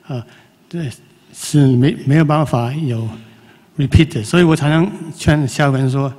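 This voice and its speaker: A man giving an informal speech to an audience, halting with many restarts and fillers. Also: fairly high pitch, a little mellow. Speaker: forum speaker. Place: large lecture hall. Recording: long hall reverb.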